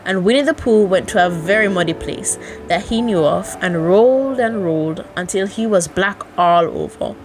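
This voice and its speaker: A woman's voice: mid-range voice, moderate pace, faint music behind, rough recording. A woman narrating a children's bedtime story as an amateur audiobook reader.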